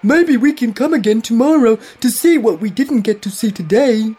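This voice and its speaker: A man voicing an eager, excitable young concrete pump truck, a cheerful brother in a children's story. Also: extremely high pitch, moderate pace, breathy edge, voice pushed up, exaggerated voice. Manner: bright-eyed, excitable.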